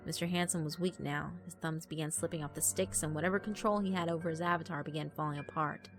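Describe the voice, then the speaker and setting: A woman giving a grave, deliberate, dramatic reading of a horror story as narrator. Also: sits low, fairly even intonation. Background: background music.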